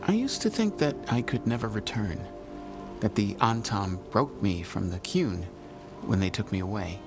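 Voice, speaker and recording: An actor playing a gentle Qunari man, speaking in a measured, formal way. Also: on the low side, very animated. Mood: calm. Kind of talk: video game cutscene dialogue. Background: music.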